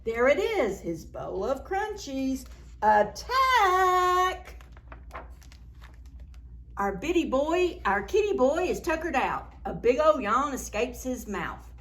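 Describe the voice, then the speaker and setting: A woman storyteller reading a picture book aloud to young children in a playful, dramatic, expressive way. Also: sits high, slow. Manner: animated, playful, dramatic reading with gasps and exclamations; addresses young children with simple questions.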